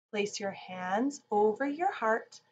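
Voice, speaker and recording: A woman reading a children's storybook and guiding relaxation in a calm, gentle manner. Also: a little high, highs cut off, slight background noise. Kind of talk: children's storybook read-aloud and guided relaxation.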